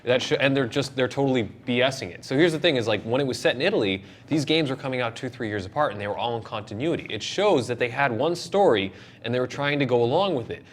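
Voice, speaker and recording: A man hosting a casual panel chat about games and movies, speaking in an animated, opinionated, emphatic way. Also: medium pitch, quick pace, hardly any pauses. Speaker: show host. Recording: clear background noise.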